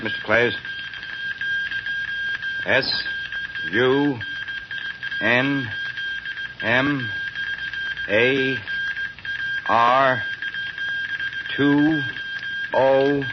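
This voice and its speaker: A man's voice: mid-range voice, drawn-out words, pauses often. A man playing a subservient, nervous henchman in an old radio crime drama. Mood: dutiful. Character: servile, jittery villain's henchman. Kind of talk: 1930s radio drama acting. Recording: muffled, very noisy old radio recording.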